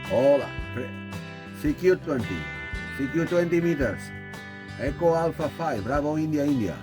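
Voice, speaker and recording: Male radio amateur, Spanish accent, higher register, repeats callsigns, faint music underneath. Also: expressive, normal speed. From Spain. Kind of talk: ham radio contact, calling callsigns.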